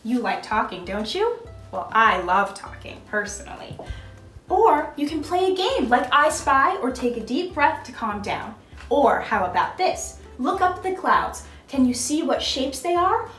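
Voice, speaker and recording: A young woman hosts a children's storytime, narrating and quizzing little listeners. Her voice is high and very animated. It is recorded in an echoey room. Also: unhurried, faint music behind. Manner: expressive, instructive, addressing small children. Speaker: costumed storytime host reading as a princess.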